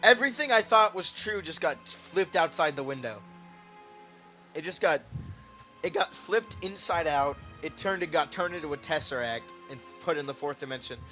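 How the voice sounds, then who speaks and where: A man giving casual live reaction commentary as a gaming streamer, excitable, repeating himself as he thinks aloud. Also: on the high side, moderate pace, soft background music, rough recording.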